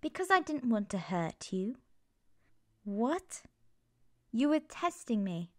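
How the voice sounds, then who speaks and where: A woman's voice: fairly high pitch, sing-song, slow, a little mellow, no echo, studio quality. A woman voicing a serious young female magic student, blunt and scolding, in an ASMR fantasy roleplay. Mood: indignant.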